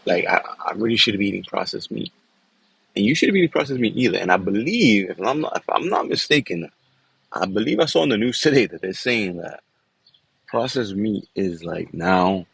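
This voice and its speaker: Gravelly low-voiced New man. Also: dry recording, rough recording. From New York City. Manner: loose and conversational, often tags lines with "man" and "you know". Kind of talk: casual personal vlog talk.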